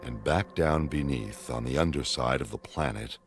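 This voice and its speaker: An actor narrating a science-fiction radio play as a dramatic, deliberate storyteller with a gravelly voice. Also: male voice, extremely low pitch. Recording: music underneath.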